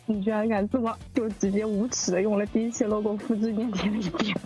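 A woman's voice: medium pitch, fairly even intonation, fast talker, darkish tone, faint music behind. A woman hosting a casual group chat, chatty and often prompting others with questions. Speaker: host of a drama cast discussion.

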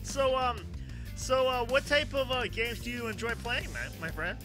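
An excitable male talk show host with a high-pitched voice that swings widely. He rambles through casual chat, repeating himself and exclaiming, with music playing clearly behind him. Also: moderate pace.

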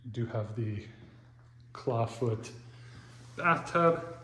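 A man's voice: medium pitch, animated, extremely slow, a bit bright. A man casually narrating a walkthrough of a house for sale, upbeat and pointing out features as he walks. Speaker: real estate agent giving a house tour. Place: large empty house, indoors. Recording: echoey empty rooms, faint room noise.

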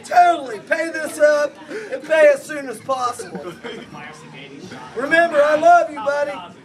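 A middle-aged man giving a rowdy comic wedding toast from cards, shouting and laughing through it, recorded on a distant phone in a crowded party room. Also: extremely high pitch, measured pace. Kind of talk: comic roast-style toast read from cards. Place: indoor party venue, crowded. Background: party crowd chatter and laughter.

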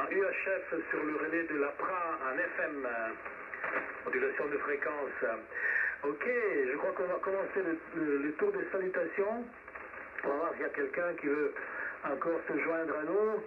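A man hosts an amateur radio net in a somewhat high voice. He comes through a thin, band-limited shortwave signal with clear static around him. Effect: shortwave radio transmission. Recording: tinny, noisy shortwave radio reception, distant.

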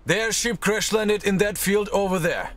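A man's voice: high-pitched, a little slow, fairly bright tone. An actor playing a dutiful soldier in a video game, giving matter-of-fact reports to his commander.

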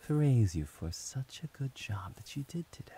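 A man voicing a devoted, doting young male servant in an ASMR roleplay, whispering softly and soothingly. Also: mid-range voice, very animated, unhurried, a little hiss or hum. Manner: soft whispering, affectionate and soothing.

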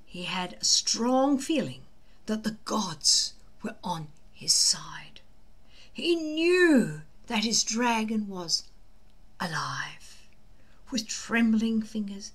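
An older woman reading a children's book aloud slowly and deliberately, with dramatic emphasis. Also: medium pitch, sing-song, slightly rough. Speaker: storybook reader. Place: small indoor room at home.

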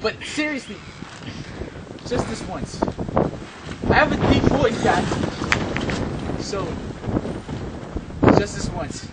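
A young man with a high voice talks slowly through a teasing mock prayer, breaking into laughs. He stands outdoors on a backyard driveway at dusk, caught by a phone camera a few steps away. Loud wind noise rushes over the distant, thin sound. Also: animated. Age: young adult. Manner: playful, teasing, laughs between phrases.

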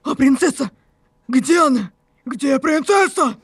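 A woman's voice: sits high, lively intonation, unhurried. A woman voicing a brash young male hero knight in a visual novel, talking in an indignant, demanding way. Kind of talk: amateur voice-over of a visual novel.

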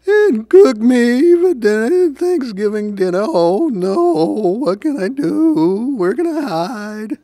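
A man's voice: extremely high pitch, sing-song, slow, few pauses, dark tone, no room sound, studio quality, pitched above the performer's own voice, exaggerated voice. A man voicing a panicky turkey in a storybook, wailing and pleading frantically. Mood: panicked. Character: panicky male turkey fleeing from being cooked. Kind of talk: storybook character voice.

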